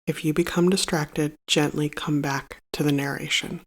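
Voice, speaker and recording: Meditation guide, gravelly fry, medium pitch, scripted narration, solemn, measured, instructive. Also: fairly even intonation.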